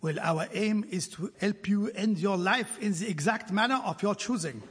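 Male panellist, high-ish voice, unhurried deadpan, live hall recording. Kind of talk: improvised comedy sketch. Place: theatre stage before audience.

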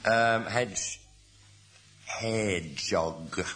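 A man's voice: fairly low pitch, very slow. A man on a comedy panel game, making dry, laconic remarks. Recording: live studio show with loud noise.

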